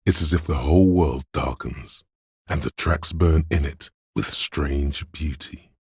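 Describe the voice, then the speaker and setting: A man voicing a detective's analytical inner voice in a video game, grave and coolly exacting, with deliberate, clinical narration and dry judgment. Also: darkish tone, slightly rough, no echo, full character voice. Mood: hushed wonder. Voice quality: very deep, resonant bass. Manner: deliberate, clinical, measured, dryly judging. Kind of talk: dramatic second-person game narration.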